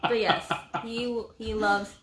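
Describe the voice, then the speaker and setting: A woman's voice: fairly high pitch, little pitch movement, takes it slowly, a bit bright. A woman in her thirties chatting casually at home as a book vlog co-host, with a playful, gushing manner. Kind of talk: casual bantering chat. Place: small room at home, indoors.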